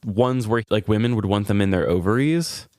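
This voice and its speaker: A man's voice: fairly low pitch, normal speed, a little mellow, dry recording, studio quality. A man co-hosting a podcast, telling funny stories in a casual, conversational way. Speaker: podcast co-host. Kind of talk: casual comedic storytelling chat.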